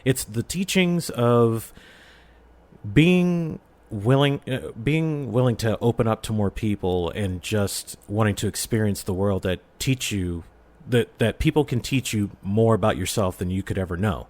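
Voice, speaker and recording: A man's voice: lowish voice, a little slow, a little mellow. A man talking casually as a podcast guest, explaining his views in an earnest, rambling way. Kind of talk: casual fan discussion.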